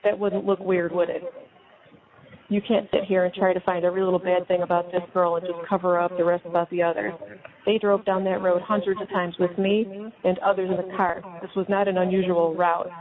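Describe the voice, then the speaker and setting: A woman's voice: on the low side, little pitch movement, a little fast. A middle-aged American woman talking and reading aloud casually on a phone call, blunt and indignant, stumbling now and then. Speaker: mother on a jail phone call. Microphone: speakerphone. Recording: muffled phone line on speakerphone, chatter behind.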